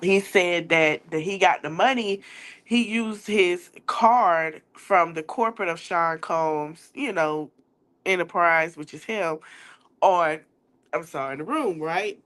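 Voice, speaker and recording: A woman's voice: on the low side, a bit bright. A woman podcast host with a stuffy nose, recapping a trial in casual chat and calling her viewers y'all. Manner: chatty, drawn-out, addresses viewers as y'all.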